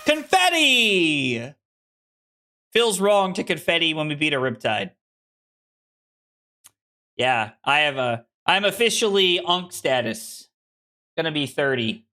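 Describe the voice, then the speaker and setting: A bright, fairly high-voiced young man streams a card game and chats with his viewers. He is in his late twenties and rambles loosely in a self-deprecating way, often restarting his sentences. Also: sing-song, slow pace, long pauses. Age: 20s. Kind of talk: casual live-stream chat with viewers.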